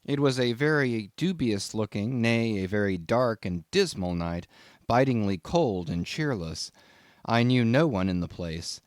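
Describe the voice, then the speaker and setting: A man reading a literary audiobook as scripted narration, in an expressive, measured storytelling manner. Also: fairly low pitch, no echo, clean studio sound.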